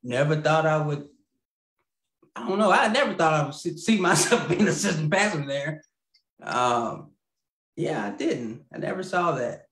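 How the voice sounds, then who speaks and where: A man in his thirties speaks reflectively about his church and marriage, pausing with frequent ums. He is on a video call from a small room at home that sounds a little roomy. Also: a little high, animated, fairly bright tone. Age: 30s. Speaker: assistant pastor. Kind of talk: casual interview chat.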